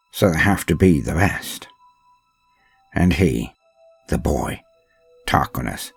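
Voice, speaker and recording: Man acting a husky, low-voiced, grave inquisitor. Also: a little mellow, exaggerated voice. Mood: grim, proud, then reverent, intent. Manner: solemn, theatrical, with deliberate pauses. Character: grave, proud inquisitor, last of a revered line, haunted. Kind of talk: dramatic first-person narration in audio drama.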